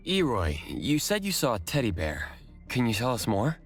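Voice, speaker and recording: An actor playing a calm, analytical male investigator in a video game, measured and inquisitive. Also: medium pitch, faint music behind. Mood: probing.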